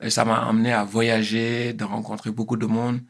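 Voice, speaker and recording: A man's voice: on the low side, completely flat delivery, normal speed, vocal fry, breathy edge. A man in his fifties from Senegal, answering interview questions in a hesitant way, with frequent fillers and restarts. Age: 50s. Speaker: guest.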